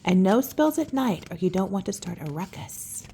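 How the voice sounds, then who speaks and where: A woman's voice: medium pitch, animated, moderate pace, dark tone, slight background noise, natural voice. A woman voicing a kindly, patient fairy schoolteacher in a storybook, instructive and encouraging.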